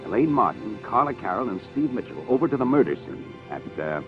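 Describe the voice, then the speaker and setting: An actor playing a shrewd, commanding police inspector in a 1950s radio drama, with a dry, probing, authoritative manner. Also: male voice, medium pitch, big pitch swings, fairly quick, natural voice. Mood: interrogating. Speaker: detective inspector. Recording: dull old radio recording with music.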